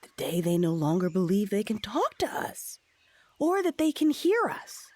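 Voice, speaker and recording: Woman as consoling stuffed-toy group leader, audio drama, extremely animated intonation, breathy edge, kindly. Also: mid-range voice, normal speed, no echo. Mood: consoling, sad.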